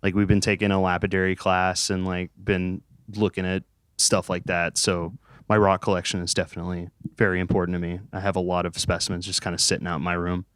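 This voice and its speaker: A man's voice: low-pitched, little pitch movement, quick pace, a bit bright, clear, resonant tone, no room sound. A young man in a band interview, joking around, swearing freely and laughing a lot. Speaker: band member. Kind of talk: casual interview chat.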